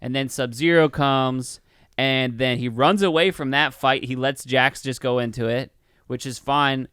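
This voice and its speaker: A man's voice: mid-range voice, fairly even intonation, a little slow, fairly bright tone, smooth, dry recording, studio quality. A man co-hosting a casual film review podcast, rambling and swearing as he acts out mock bits of dialogue. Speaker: podcast co-host.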